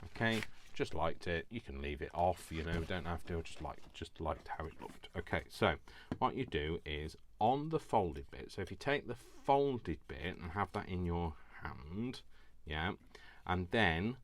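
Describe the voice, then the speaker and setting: A very low-pitched man from the UK talks through a crafting tutorial in a casual, rambling way, tripping over his words and laughing at himself. His voice is a little hoarse, and there is faint noise behind him. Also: fairly bright tone. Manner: rambling, self-deprecating, stumbles over words. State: slightly hoarse from allergies.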